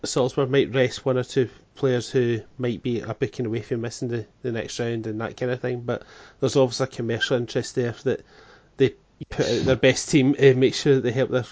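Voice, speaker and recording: A man co-hosting a football podcast in a halting, filler-heavy chat. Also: mid-range voice, little pitch movement, a little mellow, no echo. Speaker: podcast co-host. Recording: dry, dull lo-fi sound.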